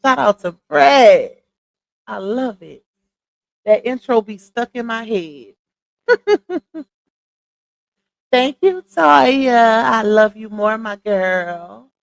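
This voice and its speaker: A woman hosting a casual livestream, chatting with viewers, greeting them by name and calling them y'all, her voice starting to go hoarse. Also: on the high side, expressive, very slow, pauses often, dry recording. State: voice going hoarse. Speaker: livestream host.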